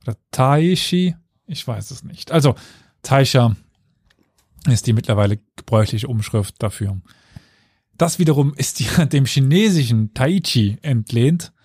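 A man's voice: medium pitch, lively intonation, measured pace, a little mellow, slightly rough, no echo. A man hosting a casual history podcast, explaining at length with fillers and dry self-mocking asides. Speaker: podcast host telling history.

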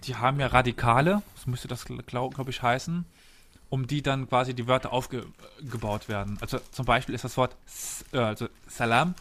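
This man has a voice of middling pitch. He hosts a talk show and lays out history in a casual, step-by-step way. He often stops to quiz his listeners on what they know. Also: steady tone, fairly quick, fairly bright tone. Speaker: podcast host presenting history. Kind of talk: casual explanatory chat.

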